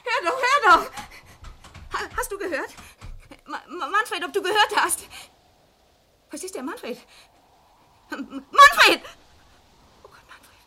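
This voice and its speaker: Panische Frau mit sehr hoher Stimme. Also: female voice, very high-pitched, very animated, takes it slowly, long pauses, bright, forceful tone, exaggerated voice. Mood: terrified. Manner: stammering, breathless pleading. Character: terrified wife alone at home, threatened by an intruder. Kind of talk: German radio crime drama acting. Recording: faint noise on old radio drama recording.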